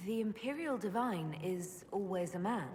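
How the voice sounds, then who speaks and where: Female fantasy leader, played by an actress: measured, earnest, inquisitive game dialogue, long reverb, clear ambient noise. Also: mid-range voice, lively intonation, darkish tone, natural voice. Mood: calm, curious. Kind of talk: video game character dialogue.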